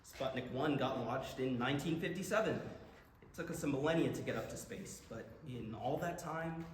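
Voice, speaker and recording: In an echoey room with faint noise, an enthusiastic young stargazer played by a man explains things in a mid-pitched voice. He is chatty and eager, as in a stage play reading. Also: normal speed, natural voice. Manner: explanatory, chatty, stumbles on words. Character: enthusiastic young amateur astronomy buff from rural Missouri.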